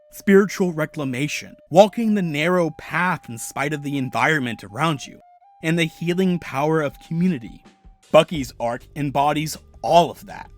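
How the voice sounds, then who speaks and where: A man's voice: fairly high pitch, a bit bright. Analytical male video essay narrator. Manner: measured, analytical. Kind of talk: scripted narration.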